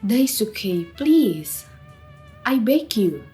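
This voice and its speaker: A woman's voice: mid-range voice, very animated, very slow, dark tone. A woman playing a young Javanese captive, wary but resolute, in a radio drama with music behind her. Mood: pleading, resolute.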